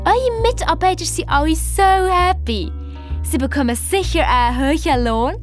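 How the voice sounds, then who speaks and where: A woman's voice: very high-pitched, normal speed, faint music behind, pitched above the performer's own voice. A woman voicing a rich English lady, a gushing and prying hotel guest in a children's radio play. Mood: prying, sweet. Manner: gushing, politely inquisitive.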